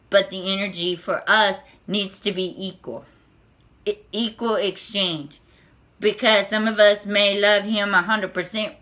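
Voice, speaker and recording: Squeaky, bright-voiced nervous woman. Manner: nervous, halting, many restarts and repeated words. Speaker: spiritual tarot vlogger. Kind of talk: unscripted spiritual monologue to camera.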